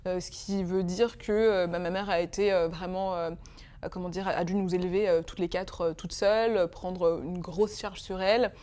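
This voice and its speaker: A woman's voice: fairly low pitch, steady tone, normal speed, mellow. A young woman in her twenties from Congo, speaking as a guest in an emotional interview, hesitant and full of fillers. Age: 20s. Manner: hesitant, many fillers and restarts.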